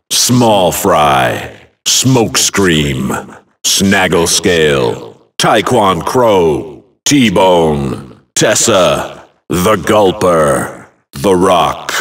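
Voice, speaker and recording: Imposing fantasy game announcer, very low voice, extremely slow, theatrical short bursts, slightly distant. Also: male voice, fairly bright tone, full character voice. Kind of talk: dramatic video game announcing of names, one at a time.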